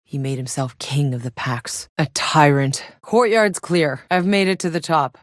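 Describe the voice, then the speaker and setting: A woman's voice: low voice, measured pace, no echo, studio quality. An actress playing a tough, sardonic female soldier and explorer in a sci-fi video game, blunt and matter-of-fact. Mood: grim, determined. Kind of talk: scripted video game character voice lines.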